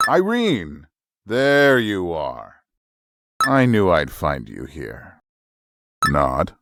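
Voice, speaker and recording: An actor playing a jealous, controlling husband who talks in a sarcastic, needling way. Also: male voice, sits low, huge pitch swings, drawn-out words, fairly bright tone, no echo, exaggerated voice. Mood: snide.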